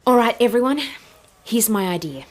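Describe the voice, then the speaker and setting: A woman's voice: a little high, animated, lightly acted. Woman acting a commanding, driven inventor. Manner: decisive, brisk orders. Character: driven, commanding female inventor and team leader. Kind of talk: audio drama acting.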